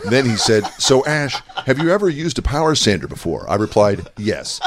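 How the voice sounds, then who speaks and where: A man with a low voice reads his own blog aloud as one of the hosts of a comic podcast. He delivers it dry and deadpan, in a flat narrating tone. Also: background noise. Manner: dry deadpan reading, breaks into laughs. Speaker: podcast co-host.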